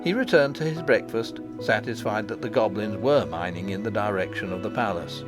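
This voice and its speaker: A man's voice: fairly low pitch, fairly quick, music in the background. A man reading a children's fantasy novel as scripted audiobook narration. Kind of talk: scripted storybook narration with character voices.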